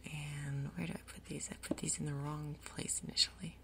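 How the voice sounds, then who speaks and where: A woman giving soft, breathy, whispered ASMR commentary on a game, murmuring her thoughts aloud in an unhurried way. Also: low-pitched, little pitch movement, noisy background. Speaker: gaming video host.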